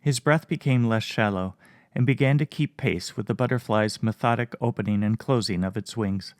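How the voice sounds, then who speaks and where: A man reading a fantasy story aloud in a calm, measured audiobook narration. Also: fairly low pitch, no echo, studio quality.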